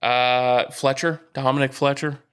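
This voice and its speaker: A man's voice: medium pitch, little pitch movement, unhurried, a bit bright, smooth. A man co-hosting a podcast, chatting casually about sports with a dry, teasing manner. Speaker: podcast co-host.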